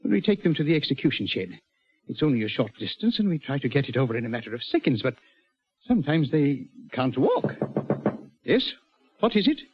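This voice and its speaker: An actor playing an anxious but firm prison governor in 1940s radio drama style. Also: male voice, mid-range voice, very animated, brisk, a little hiss or hum, close to an everyday voice. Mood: uneasy. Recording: dull vintage radio recording.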